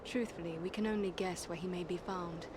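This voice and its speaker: An actress playing a determined, courteous adventurer in a fantasy game, speaking in a poised, formal way. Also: female voice, on the low side, steady tone, a little fast, background noise, natural voice. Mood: courteous, earnest. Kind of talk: fantasy game cutscene acting.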